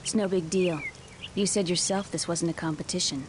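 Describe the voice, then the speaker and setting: A woman's voice: lowish voice, fairly even intonation, moderate pace, background noise, lightly acted. An actress playing a guarded young female Jedi student in a video game, terse and self-possessed. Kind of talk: scripted video game voice acting. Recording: music behind the voice.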